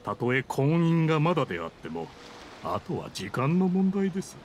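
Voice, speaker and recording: A man's voice: a little high, sing-song, normal speed. A man playing a scheming, easily riled official in a Japanese anime game, formal and pompous with a defensive, indignant manner. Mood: defiant, smug. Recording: game dialogue over ambient scene noise. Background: game scene ambience.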